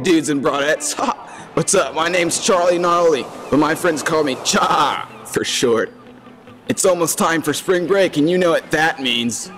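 A man's voice: fairly high pitch, fairly bright tone. A man voicing a laid-back, boastful surfer dude puppet in a slangy drawl for a comedy sketch. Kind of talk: scripted puppet sketch monologue.

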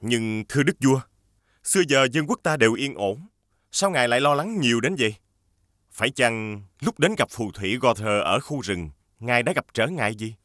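A man playing a dutiful, concerned royal servant in an animated fairy tale, speaking formally and with deference. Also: medium pitch, moderate pace, no echo, studio quality, natural voice.

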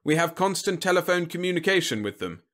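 A man's voice: fairly high pitch, animated, unhurried, fairly bright tone, voice pushed up. A man voicing a big, overconfident, bullying army general in an audiobook story, speaking in an emphatic, blustering, snapping way. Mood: chummy, assured. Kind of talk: audiobook character acting.

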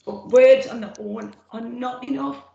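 A middle-aged woman with a rough, gravelly throat, giving an earnest, plain-spoken personal testimony from her notes on a video call. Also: a little high, fairly even intonation, a little slow, mellow, muffled recording. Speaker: former smoker speaking at a roundtable. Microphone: computer mic on a video call. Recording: echoey room, faint noise.

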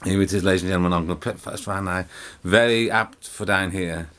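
A man's voice: deep voice, steady tone, fairly quick, a bit bright. A middle-aged English man vlogging from his living room sofa, chatty and rambling with lots of fillers and restarts. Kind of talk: casual vlog chat to camera. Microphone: camera in front, close framing.

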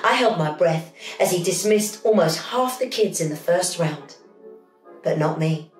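A middle-aged woman reading a children's story aloud in an expressive, dramatic storytelling style. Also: on the low side, measured pace. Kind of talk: animated read-aloud storytelling. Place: small home room, indoors. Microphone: camera a few feet away. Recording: echoey room with music underneath.

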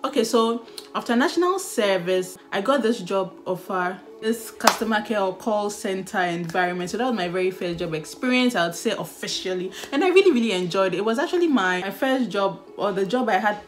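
A woman's voice: medium pitch, animated, a little fast. A young woman with a Ghanaian accent, chatting casually and animatedly as a vlogger, with laughs and sighs. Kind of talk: casual chat.

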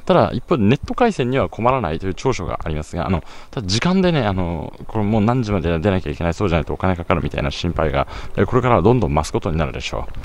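A man's voice: low-pitched, very animated, racing, hardly any pauses, a little hiss or hum. A young man vlogging his travels casually into a handheld camera, explaining costs and rules in detail, outdoors at night and then in a small internet cafe booth. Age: young adult. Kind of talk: casual travel vlog narration.

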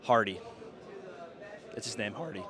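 A teenage Southern football player giving short, easygoing answers into a handheld mic. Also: male voice, on the low side, expressive, unhurried, fairly bright tone. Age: teens. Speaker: high school football player. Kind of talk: casual interview answers. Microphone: handheld mic held by interviewer.

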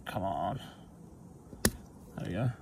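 A young man casually narrating electrical work on his small sailboat outdoors, relaxed and rambling with ums and self-corrections, recorded on an action camera. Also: low voice, slow pace, a little hiss or hum. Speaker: sailing vlogger. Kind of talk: casual how-to narration while working.

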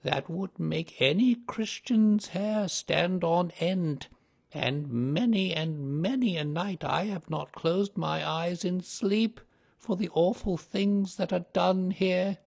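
A man voicing an old woman housekeeper who speaks slowly in a low, mysterious voice. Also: creaky, full character voice. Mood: fearful. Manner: low, slow, mysterious delivery.

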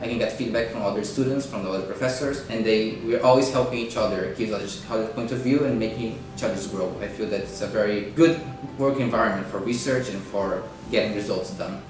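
A man's voice: lowish voice, a little fast, background noise. A man in his thirties from Brazil, an assistant professor, answering interview questions in an earnest, eager way and restarting phrases as he searches for words. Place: bare room with grey wall. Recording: echoey room, voice distant from mic.

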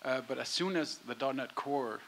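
A man's voice: mid-range voice, moderate pace, gravelly, fairly bright tone. A man from Iceland asking an informal question from a conference audience. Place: audience seats in a conference hall. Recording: hissy, noisy audience microphone.

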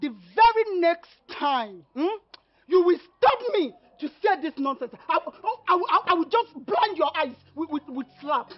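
A woman playing a sharp-tongued young woman who scolds and rebuffs a suitor, on dull audio. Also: very high voice, animated, unhurried, no echo. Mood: angry. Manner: scolding, indignant.

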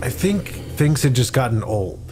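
A man's voice: mid-range voice, sing-song, moderate pace, background noise. A man playing a tabletop game as a guest, telling his character's story in a thoughtful, step-by-step way. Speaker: guest player on a tabletop podcast. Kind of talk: improvised, reflective tabletop storytelling.

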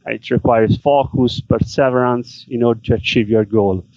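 A man's voice: mid-range voice, fairly even intonation, a little mellow, no echo. Man on a dull call. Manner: halting non-native English with fillers. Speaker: guest, business seller. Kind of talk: interview answers. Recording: dry, dull remote call audio.

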